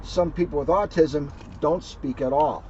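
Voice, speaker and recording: Higher-pitched man reading to children, lo-fi sound. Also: a little slow, warm tone. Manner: simple, explanatory phrasing aimed at children. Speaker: police officer reading a children's book. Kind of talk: read-aloud to children with explanatory asides. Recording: dull lo-fi sound, faint background noise.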